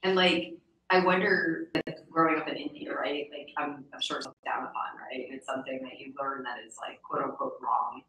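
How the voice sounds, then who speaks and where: A woman's voice: sits low, steady tone, moderate pace, pushed and bright, far from the mic. A woman hosting a casual podcast interview, speaking in an informal, chatty way and often checking in with "right?". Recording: roomy echo, off the mic.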